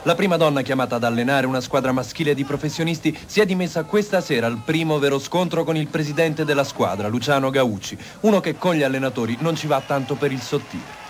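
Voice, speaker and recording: A male reporter narrates a scripted TV news piece at a medium pitch. The recording is an old tape with clear noise. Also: fast. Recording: noisy degraded old TV tape.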